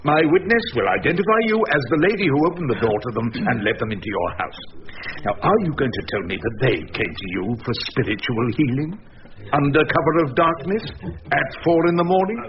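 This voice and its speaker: An actor playing a dogged, wry old defence barrister in a radio drama, questioning theatrically and insistently. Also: male voice, on the high side, lively intonation, fairly quick, highs cut off, noisy background. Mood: accusing.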